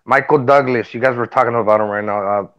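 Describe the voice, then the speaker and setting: A man's voice: mid-range voice, fast talker, fairly bright tone, highs cut off, a little hiss or hum. A man in his forties from Tijuana, Mexico, telling personal stories in a casual, rambling way and often repeating himself. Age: 40s. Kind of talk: casual chat, personal storytelling.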